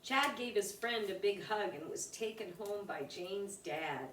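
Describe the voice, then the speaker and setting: A woman reading a rhyming children's picture book aloud in an expressive, deliberate way for young listeners. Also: mid-range voice. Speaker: storybook reader. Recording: roomy, distant mic with background noise.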